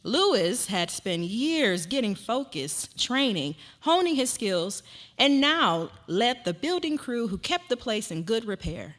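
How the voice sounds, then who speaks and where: A woman's voice: medium pitch, a bit bright. A woman narrating a story aloud in a dramatic reading.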